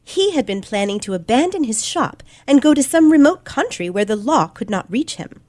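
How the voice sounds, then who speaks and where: A woman's voice: on the high side, darkish tone. A woman playing a self-assured, precocious teenage girl detective in an old-fashioned radio play, poised, smug and formal. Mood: smug, accusing.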